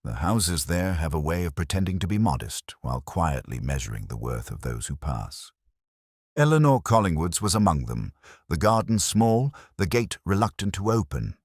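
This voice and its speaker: A man narrating a scripted first-person detective story in a measured, literary and formal way. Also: deep voice, sing-song, breathy edge, no echo, clean studio sound.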